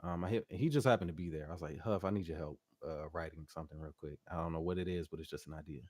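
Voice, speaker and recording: A man's voice: low voice, fast talker, darkish tone, no room sound. A man speaking casually and informally as a musician guest in an interview, with slangy, loosely phrased talk. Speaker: interview guest, R&B singer and producer.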